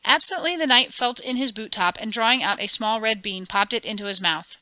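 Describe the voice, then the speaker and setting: Expressive storybook narrator, a woman with a sharp, bright voice. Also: a little high, a little fast, no room sound. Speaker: audiobook narrator. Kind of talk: dramatic storybook reading.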